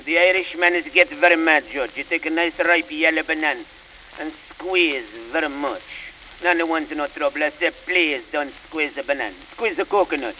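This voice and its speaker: An actor playing an excitable Italian immigrant fruit seller who complains earnestly to the judge in broken English. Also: male voice, a little high, moderate pace. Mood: complaining. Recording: hissy, echoey old record.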